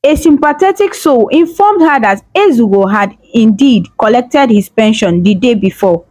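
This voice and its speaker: A woman's voice: fairly high pitch, lively intonation, moderate pace, darkish tone, no room sound. A woman narrating a scripted tale as a storyteller, in a solemn, suspenseful, dramatic manner.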